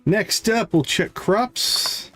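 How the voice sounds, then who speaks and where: Unhurried man streaming a game. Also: high-pitched, sharp tone, slightly rough, soft background music. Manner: talks himself through tasks step by step. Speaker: live game streamer, host. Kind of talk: casual live gameplay commentary, thinking aloud.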